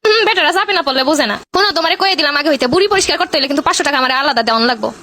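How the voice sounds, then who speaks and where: A woman plays a sharp-tongued Bangladeshi housewife in a comedy cartoon. Her voice is piercingly high and bright, and she argues at breakneck speed. She scolds and demands as she goes. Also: expressive, racing, dry recording, studio quality. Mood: demanding. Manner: scolding, argumentative.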